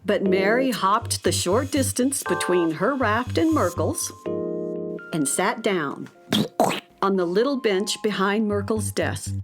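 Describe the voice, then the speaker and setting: A woman's voice: medium pitch, expressive, slow pace. Woman narrating a children's story over music.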